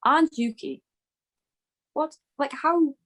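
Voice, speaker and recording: A woman's voice: sits high, slow, a bit bright. A woman chatting casually as a podcast co-host, very informal, often saying "like". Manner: informal, peppered with "like". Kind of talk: casual race review chat.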